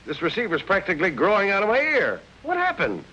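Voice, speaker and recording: Sharp, wry phone-line police lieutenant. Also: male voice, high voice, huge pitch swings, quick pace, exaggerated voice. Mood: impatient, wry. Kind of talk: old-time radio mystery acting. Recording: hissy, muffled radio phone line.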